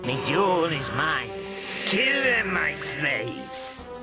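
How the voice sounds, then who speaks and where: An actor playing an evil, power-hungry vampire count in a fantasy game, with menacing, theatrical delivery. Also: male voice, on the high side, big pitch swings, extremely slow, over loud music, exaggerated voice. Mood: menacing, commanding. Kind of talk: fantasy video game cutscene acting.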